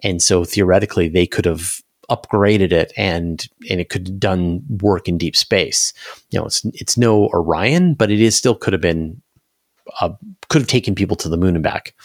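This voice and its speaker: Low-pitched man explaining in an interview. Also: no echo, studio quality. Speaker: interview guest, space news commentator.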